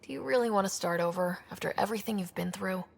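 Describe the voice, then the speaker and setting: An actress playing a composed, supportive expedition leader in a video game, conversational and understated with a gravelly edge. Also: female voice, mid-range voice, fast, in a large hall. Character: composed, supportive young woman expedition leader with dry wit.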